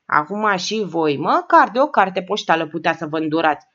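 A woman's voice: fairly low pitch, big pitch swings, fairly bright tone, pitched below the performer's own voice. Frail old woman as a woman reads her, plaintive, rambling, muffled recording.

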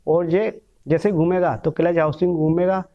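A man's voice: fairly high pitch, level tone, normal speed, background noise. A man in his thirties teaching bike mechanics, explaining step by step while handling engine parts in a small room. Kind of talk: hands-on workshop lesson. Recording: dull lo-fi sound.

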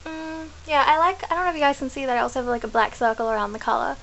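A young woman from New Zealand rambles cheerfully to her laptop webcam, correcting herself as she goes. Her voice sits slightly high. A faint hiss runs under the small bedroom recording. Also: steady tone, very fast, vocal fry, a bit bright, dull audio. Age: young adult. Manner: chatty, rambling, self-correcting. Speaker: vlogger. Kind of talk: casual chat to camera.

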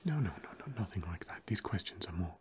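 A young man playing a fussy, old-fashioned vampire housemate in an ASMR roleplay, breathy and drily polite. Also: sits low, sing-song, slight background noise. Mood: polite, reassuring. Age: young adult. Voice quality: breathy, husky. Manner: genteel, dry, measured.

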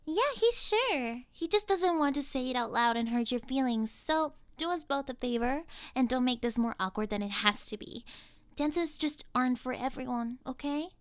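High-pitched smug mean cheerleader girl played by a woman. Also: lively intonation, moderate pace, no room sound. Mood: smug, mocking. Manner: condescending, giggly, saccharine pet names. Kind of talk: scripted ASMR roleplay acting.

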